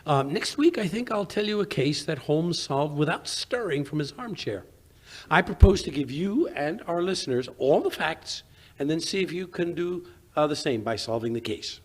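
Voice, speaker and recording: A man playing a genial, excitable gentleman sidekick with hesitant, old-fashioned speech in a 1940s radio drama style. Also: on the high side, normal speed. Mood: genial, reminiscing.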